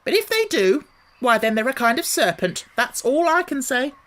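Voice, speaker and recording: A man voicing a fussy mother pigeon who shrieks and speaks with deep contempt. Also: big pitch swings, moderate pace, a bit bright, heavily acted. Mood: scolding. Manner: shrill, contemptuous, scolding. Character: fussy shrieking female pigeon guarding nest.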